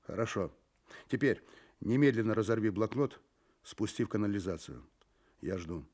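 An actor playing a cool, brisk, commanding male private detective in a radio drama, with a husky voice. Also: fairly low pitch, expressive, background noise, lightly acted. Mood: grim, urgent.

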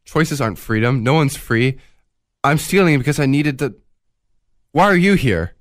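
A man as an anguished thief, radio drama, medium-pitched, agitated, defiant, close dry recording. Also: expressive, moderate pace, no room sound, close to an everyday voice. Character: guilt-ridden, defensive male thief, stubborn and anguished.

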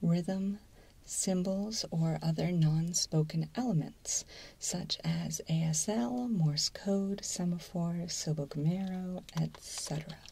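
A woman playing a mild, businesslike intake assessor in an ASMR role-play, in a soft breathy whisper with a methodical, slightly hesitant manner. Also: on the low side, slow, heavily acted. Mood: calm, methodical. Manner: methodical, hesitant, matter-of-fact. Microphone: close microphone.

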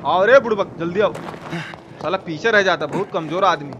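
An Indian man with a Bihari Hindi accent giving excitable, slangy live game commentary and often repeating himself. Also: high voice, moderate pace, highs cut off. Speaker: game streamer. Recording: clear game noise behind voice. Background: horror game sound effects and ambience.

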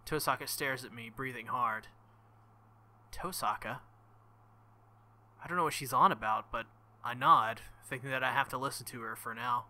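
A young man hosting a visual novel playthrough, reading narration and commenting in an excitable, joking, profane way. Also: medium pitch, normal speed, pauses often. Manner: excitable, swings to loud outbursts. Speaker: let's-play host.

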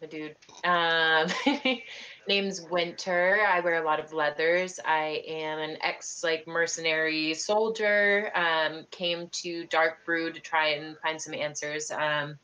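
A woman's voice: sits low, steady tone, takes it slowly, sharp tone. A woman on a video call describing her tabletop character, hesitant with fillers. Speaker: player. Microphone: webcam video call.

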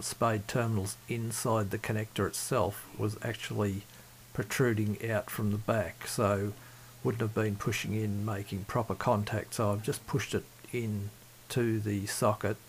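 A low, gravelly-voiced man talks through a fix at his home workbench. He stays flat and even, pausing often with fillers as he works. A faint hiss sits under the recording. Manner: halting, many fillers, methodical. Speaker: CB radio hobbyist. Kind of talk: hands-on repair demonstration.

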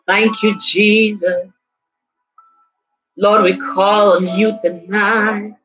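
A woman leading a congregation in prayer and worship, with slow, fervent, drawn-out phrases that slip into singing. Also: mid-range voice, level tone. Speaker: worship leader. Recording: dull lo-fi recording with soft music behind.

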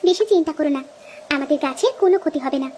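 A woman voicing a blunt, sharp-tongued village wife in a cartoon, with a scolding manner. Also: high voice, very fast, slight background noise, lightly acted. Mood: brisk, blunt. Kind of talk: cartoon dialogue acting.

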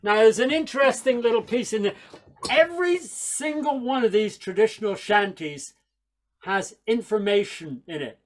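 A man's voice: sits high, animated, normal speed. An older man from Northern Ireland, raised in England, giving a wry, chatty storytelling talk over a video call from a small room at home with a headset mic. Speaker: folk singer and speaker.

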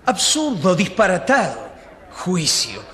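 A man's voice: high voice, wildly swooping pitch, slow, a bit bright, heavily acted. An actor playing an opinionated, easily outraged newsman in a radio drama, emphatic and exclamatory. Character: outraged, opinionated male newsman defending the mayor. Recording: long reverberant hall.

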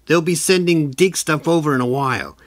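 An actor playing a loyal, long-suffering personal assistant in an audio comedy, mild and dryly understated. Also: male voice, fairly high pitch, brisk, close to an everyday voice. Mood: patient.

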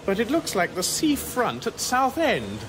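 A high-voiced English everyman lost in space. He is plaintive and exasperated, his pitch leaping about in disbelief. It is a comic sci-fi radio play with music behind. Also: huge pitch swings, measured pace, exaggerated voice, low-quality recording. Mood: bewildered, doubtful. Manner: plaintive, incredulous. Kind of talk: comic science-fiction radio drama.

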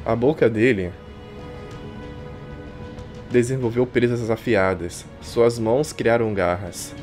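A man's voice: medium pitch, fairly even intonation, slow pace, darkish tone. Brazilian man reading dramatically over music. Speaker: streamer reading a visual novel aloud. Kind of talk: dramatic live reading with casual asides. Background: game music.

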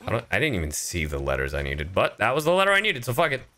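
A low-pitched man streaming a video game, with a forceful, bright edge to his voice. He chats casually and swears freely, jumping from loud outbursts to muttered asides, with some noise behind him. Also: very fast. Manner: animated, profane, swings between loud outbursts and low asides. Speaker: streamer and voice actor. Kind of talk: casual gaming livestream chat. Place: indoor livestream setup.

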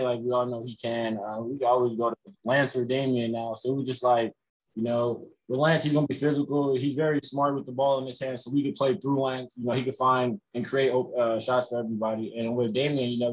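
A young man, a college basketball player, answering reporters on a video call after a game, relaxed and full of "you know" fillers. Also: medium pitch, slightly rough, low-quality recording. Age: young adult. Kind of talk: postgame press conference answers. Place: indoors in front of a logo backdrop.

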